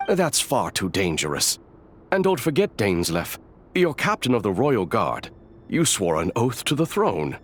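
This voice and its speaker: An actor playing a cautious, formal court scholar in a video game, speaking in an admonishing way. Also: male voice, fairly low pitch, huge pitch swings, a little slow, heavily acted. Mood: warning. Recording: game music faintly behind.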